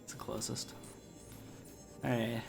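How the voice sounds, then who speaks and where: A man's voice: medium pitch, flat delivery, takes it slowly, music in the background. A man hosting a gaming video with casual, conversational playthrough commentary. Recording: clear noise behind the voice.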